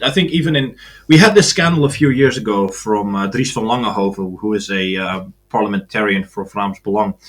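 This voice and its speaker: Low-voiced man, dry sarcasm. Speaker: guest. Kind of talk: casual podcast conversation.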